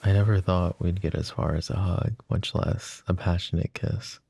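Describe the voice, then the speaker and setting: A man voicing a lovesick, possessive young mafia boss in an ASMR roleplay, speaking softly and intimately, often close to a whisper. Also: sits low, steady tone, moderate pace, creaky, breathy edge, full character voice. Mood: tender, yearning. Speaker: voice actor.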